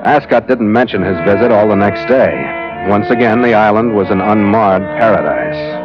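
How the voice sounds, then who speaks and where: A man's voice: lowish voice, moderate pace, close to an everyday voice. A man playing a plainspoken sea captain in a 1940s radio adventure, with a blunt, slangy way of talking. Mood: measured narration. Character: plainspoken, hard-boiled sea captain narrating his voyage. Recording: dull vintage radio recording with music.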